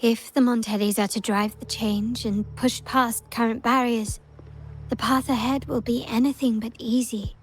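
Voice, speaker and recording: An actress voicing a high-ranking game heroine, composed and commanding. Her fairly high voice is formal, measured and persuasive. Music is clearly heard behind her. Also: natural voice. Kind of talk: scripted video game voice acting.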